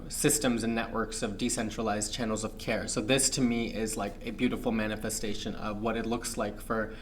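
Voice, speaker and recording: Young male guest from Pakistan, measured reflective delivery, mid pitch, light background hum. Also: fairly bright tone. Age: young adult. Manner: thoughtful, measured, many fillers. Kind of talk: reflective interview talk.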